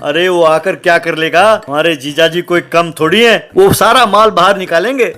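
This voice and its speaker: A man's voice: a little high, fairly even intonation, moderate pace, pushed and bright, soft background music. A man voicing an aggrieved, boastful brother-in-law of a thief in a comedy cartoon. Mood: boastful, dismissive. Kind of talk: Hindi comedy cartoon dialogue.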